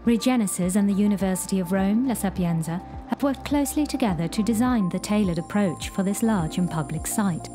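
A woman reads a scripted explainer in a measured, clear way. Her voice sits in a mellow, darker register at a middle pitch. A soft music bed plays faintly behind the studio voice-over. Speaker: corporate video narrator. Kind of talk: scripted narration.

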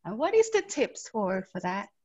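A woman's voice: a little high, big pitch swings, darkish tone, breathy edge. Thai woman asking politely over a call. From Thailand. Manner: polite, halting. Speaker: caller asking a question. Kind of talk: polite question on a video call. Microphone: earphone headset. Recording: dull lo-fi call audio.

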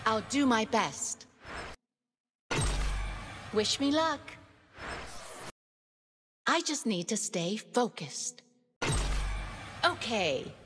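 An earnest, determined young warrior woman for an animated racing game. Her voice sits fairly high and swings in a lively way through short, spirited lines. A long reverb tail hangs on each phrase. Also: drawn-out words, pauses often, soft background music, exaggerated voice. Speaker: video game character voice.

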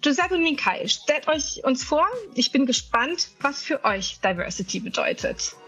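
A woman's voice: high-pitched, big pitch swings, moderate pace, music in the background, poor audio. A woman in her thirties hosting a show with a scripted introduction. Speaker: host.

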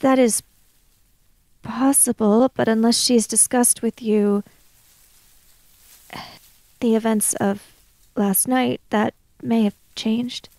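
A woman improvising in-character dialogue in a tabletop roleplaying game, in measured, formal phrases with pauses. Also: a little high, steady tone, warm tone, dry recording.

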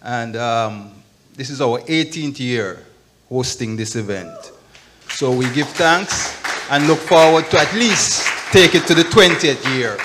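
An older man speaks at a podium. He talks slowly, in a medium-pitched voice, giving informal thanks as a community leader. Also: a bit bright, slight background noise. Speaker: foundation president. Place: event hall stage at a podium. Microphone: podium microphone.

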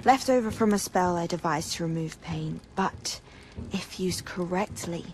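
A woman's voice: on the low side, unhurried, darkish tone, slight background noise, natural voice. An actress playing an earnest, determined witch in a video game cutscene. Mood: guarded, persuasive.

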